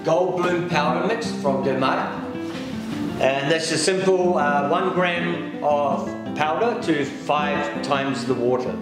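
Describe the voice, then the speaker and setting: A middle-aged man from England and New Zealand gives a step-by-step cooking demonstration as a pastry chef, explaining in a hesitant, unhurried way. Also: on the high side. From England-born, raised in New Zealand. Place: indoor demonstration kitchen. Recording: very echoey room with loud music behind. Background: loud background music.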